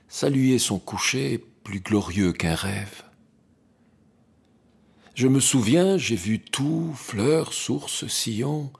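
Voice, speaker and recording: A man reciting classic poetry dramatically, in a husky, breathy, gravelly voice with slow, deliberate, hushed phrasing. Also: lowish voice. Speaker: poetry reader.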